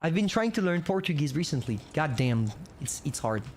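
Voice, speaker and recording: Young French-accented male streamer, high-pitched, loose and chatty, noisy mic that keeps cutting out. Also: very animated, normal speed. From France. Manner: casual, rambling, talks to himself. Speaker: gaming streamer. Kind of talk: live gameplay commentary, chatting with viewers.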